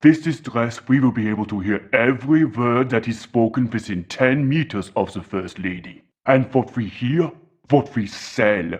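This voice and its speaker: A man voicing a calculating, commanding spy in a video game, assured and deliberate. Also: mid-range voice, slightly rough, close to an everyday voice. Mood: scheming.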